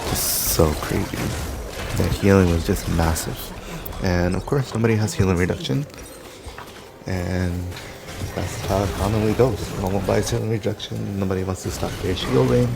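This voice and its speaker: Gravelly low-voiced man rambling. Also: moderate pace. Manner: loose, meandering, filler-heavy storytelling. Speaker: gaming commentator. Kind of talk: rambling gameplay commentary. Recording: background noise.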